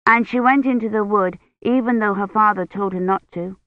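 A woman's voice: normal speed, close to an everyday voice. A woman voicing an eager, insistent little girl in a storybook, with a small childlike voice. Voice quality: small, breathy. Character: eager little girl prompting and judging a bedtime tale. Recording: roomy, muffled low-fidelity audiobook.

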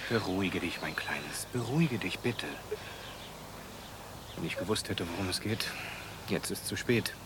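An actor playing a kindly village doctor in a radio drama, speaking gently and soothingly. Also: male voice, deep voice, expressive, a little slow, long pauses, noisy background. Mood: soothing, regretful. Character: kindly adult male village doctor calming a child. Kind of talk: hushed German radio drama acting.

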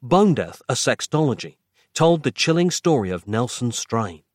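A man's voice: medium pitch, big pitch swings, normal speed, no echo, studio quality, close to an everyday voice. A man voicing a grave, self-important male writer hero in a thriller audiobook, with a drawling, grim delivery. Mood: earnest, pompous.

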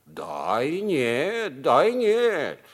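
Old herder of the far north: broken Russian, very slow, husky, stubborn and simple, vintage radio drama. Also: male voice, on the high side, wildly swooping pitch, heavily acted. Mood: insistent, generous. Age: older figure. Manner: broken Russian with wrong endings, halting. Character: old native Arctic reindeer herder, simple, stubborn, generous. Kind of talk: 1950s radio play acting.

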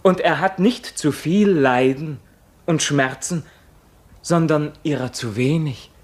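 An actor playing a frail, devout young visionary in a classical radio drama, speaking in a solemn, halting, ecstatic way. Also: male voice, fairly high pitch. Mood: exalted, fading.

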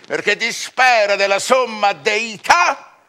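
A man's voice: sits high, expressive, slow pace, pushed and bright, exaggerated voice. An actor playing a proud scholar who bargains his soul with the devil, declaiming theatrical verse with dramatic swells and drops in a radio drama. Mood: imperious, solemn.

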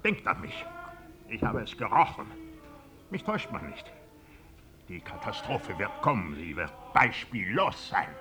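A caustic, self-important playwright for a radio play. His low delivery is slow and deliberate, yet it leaps about in clipped, bombastic bursts. There is clear ambient noise behind him. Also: huge pitch swings, sharp tone, full character voice. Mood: doom-laden. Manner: clipped, telegraphic, bombastic phrasing. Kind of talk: radio drama acting.

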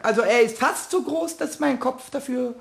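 A man playing a boastful, mischievous little kobold with a squeaky, childlike, piercing voice in a children's radio play. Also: moderate pace, gravelly. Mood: boastful, defiant. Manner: boastful, rhyming, excitable.

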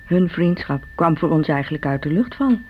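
A woman's voice: sits low, expressive, a little fast, slight background noise. Envious, brooding woman in dull radio recording. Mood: bitter envy. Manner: confiding, brooding recollection. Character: Irene, envious, bitter divorced sister, self-doubting. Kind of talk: radio drama monologue.

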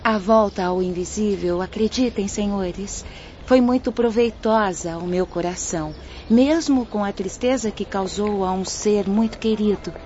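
A woman acting as a serene young girl spirit of noble birth in a radio play. She is gracious, formal and gentle. The recording is slightly dull, with faint noise behind her. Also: mid-range voice, a little slow, natural voice. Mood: serene, wistful. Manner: formal, courteous.

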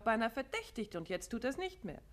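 A woman playing a detective's curious, shrewd and affectionate wife in a 1950s German radio play, conversational and gently probing. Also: fairly high pitch, big pitch swings, brisk.

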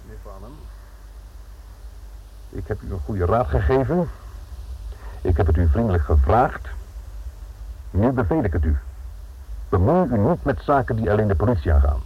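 An actor playing a stern, dryly sardonic police inspector in a Dutch radio drama, speaking formally and commandingly with a Netherlands accent. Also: male voice, lowish voice, slightly rough. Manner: formal, commanding, faintly sarcastic. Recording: muffled, narrow-band old radio recording.

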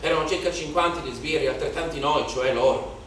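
A man acts out a young street rioter in a theatrical monologue, chanting his account in obsessive lists. His voice sits fairly high and rings through a very reverberant space. It is picked up from a distance under clear background noise. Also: brisk, fairly bright tone, close to an everyday voice. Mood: hushed, secretive. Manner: incantatory, repeating phrases in lists. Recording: echoey, noisy live theatre recording from afar.

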